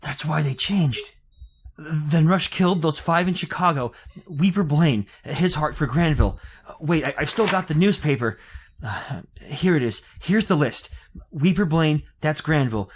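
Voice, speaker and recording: A man playing an anxious trapped husband in a 1930s-style horror radio play, urgent and halting. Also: a little high, lively intonation. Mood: dawning horror.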